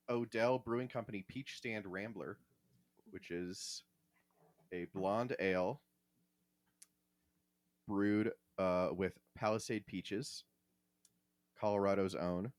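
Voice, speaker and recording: A man's voice: on the low side, fairly even intonation, slow pace, pauses often, dry recording. A man co-hosting a casual podcast, talking in a joking, wry way. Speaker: podcast co-host.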